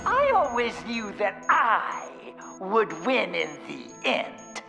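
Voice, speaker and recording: A gloating, theatrical mad bomber from a video game. His voice is very high and slow, echoing in a big hall with music underneath. He savors every boastful taunt. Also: wildly swooping pitch, vocal fry, full character voice. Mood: mocking, triumphant. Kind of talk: video game villain acting.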